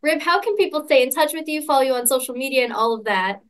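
Casting: female interview host, high-pitched voice, effusive and agreeable, conversational delivery. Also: expressive, fast.

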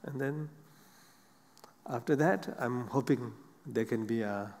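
A middle-aged man, a Buddhist monk on a stage panel, speaking halting non-native English with frequent pauses and fillers. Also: medium pitch, a little mellow. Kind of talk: unscripted panel talk. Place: seated on a large theater stage. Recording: long hall reverb.

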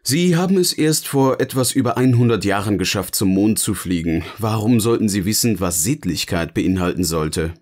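Ein Mann spielt in einem Hörspiel einen eloquenten, sardonischen Erzähler, der bedächtig und theatralisch philosophiert. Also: male voice, fairly low pitch, animated, moderate pace, few pauses, darkish tone. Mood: wry, musing. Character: eloquent, sardonic male narrator finally free to speak. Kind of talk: scripted audio drama dialogue, philosophical musing.

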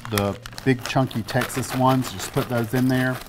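A man's voice: medium pitch, steady tone, normal speed, background noise. A man in his forties hosting a casual cooking demonstration in a studio kitchen, chatty and easygoing as he explains each step. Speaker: cooking show host.

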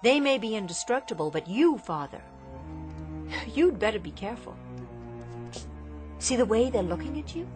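A high, very animated defiant daughter in a radio drama. She is bitter, desperate and theatrical, with light music underneath. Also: moderate pace, pauses often. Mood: bitter, warning. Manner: impassioned, theatrical.

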